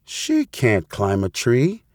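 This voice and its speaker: A man's voice: medium pitch, huge pitch swings, takes it slowly, a little mellow, dry recording, clean studio sound. A man voicing a reassuring young shepherd boy in a storybook tale. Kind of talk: storybook character voice.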